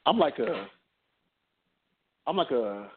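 A man's voice: mid-range voice, very animated, slight background noise. A laid-back man in his late thirties co-hosts a casual talk show, telling stories with easygoing humor and a mid-range voice. The sound is thin and tinny, like a phone line. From New Jersey. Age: 30s. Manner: laid-back, joking, drawn-out storytelling. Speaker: podcast co-host. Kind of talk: casual chat.

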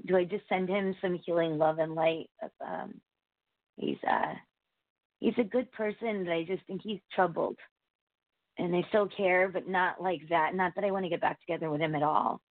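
A woman in her forties calling in to a talk show, warm and effusive, rambling a little, with a voice she says sounds young. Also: fairly low pitch, no echo. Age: 40s. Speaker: caller. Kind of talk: call-in conversation. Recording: tinny phone line, dry.

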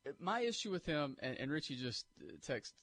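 A man co-hosting a sports talk show who gives blunt opinions in an animated, casual way. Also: a little high, a little fast, no echo. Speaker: sports radio co-host.

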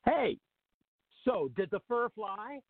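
Guy doing dopey cheerful roommate actor in a radio farce, slow n high, earnest, muffled phone sound. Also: very animated, no echo, full character voice. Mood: eager, goofy. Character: dim, cheerful young bachelor and aspiring actor. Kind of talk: farce radio play acting. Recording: muffled, phone-like narrow-band audio.

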